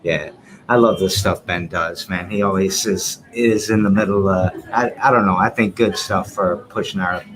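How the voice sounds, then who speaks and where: A man with a lowish voice hosts a podcast, chatting loosely and informally with his guest. He keeps things relaxed and friendly. Steady background noise runs under his voice. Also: normal speed. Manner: laid-back, friendly, loose phrasing. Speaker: podcast host. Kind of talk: casual interview chat.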